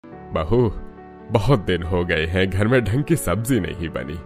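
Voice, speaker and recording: A man's voice: deep voice, expressive, a little slow, faint music behind. A man voicing a mild older village father-in-law in a cartoon.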